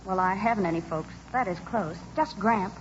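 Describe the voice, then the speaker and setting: An actress playing an earnest, trusting young farm woman in a radio play, wholesome and gentle in manner. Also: medium pitch, normal speed, close to an everyday voice. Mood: earnest, nostalgic. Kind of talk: 1940s radio acting. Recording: dull old radio recording, faint noise.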